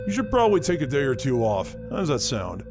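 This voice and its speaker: An actor playing a casual, caring skeleton in a comic dub, talking informally and candidly. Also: male voice, mid-range voice, very animated, quick pace, music in the background, lightly acted. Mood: concerned. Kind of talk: fan comic dub voice acting.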